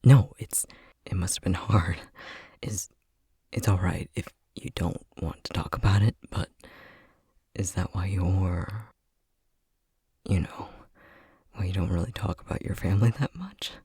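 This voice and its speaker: A man plays a tender, easily flustered young boyfriend in an ASMR roleplay. His voice is low and very husky, with breath all through it. He speaks slowly and softly, tripping over his words with hesitant stammers. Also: dry recording, studio quality, exaggerated voice. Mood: gentle, sympathetic. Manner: hesitant, stammering, soft-spoken. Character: flustered, tender young boyfriend newly discovering magic powers.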